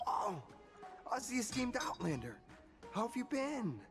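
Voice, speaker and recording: A man's voice: very high-pitched, sing-song, measured pace, heavily acted. A man voicing a flustered, talkative artist in a video game, polite and fussy with frequent stammers. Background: game music.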